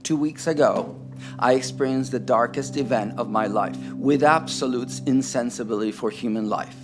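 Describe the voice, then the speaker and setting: A man's voice: medium pitch, moderate pace. A man from Costa Rica giving a prepared, personal speech. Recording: music playing behind the speech.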